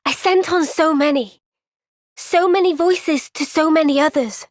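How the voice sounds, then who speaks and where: Young female game heroine: high voice, slow measured delivery, gentle yet resolute, dry close recording. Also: no room sound. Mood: sincere, earnest. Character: earnest, gentle but firm young woman fighter. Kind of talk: video game cutscene voice acting.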